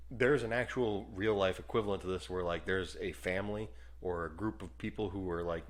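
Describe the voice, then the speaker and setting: A man with a very low voice chats easily on a podcast. He rambles through long asides with plenty of fillers and often breaks into a chuckle. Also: a bit bright. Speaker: podcast co-host. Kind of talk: casual movie review chat.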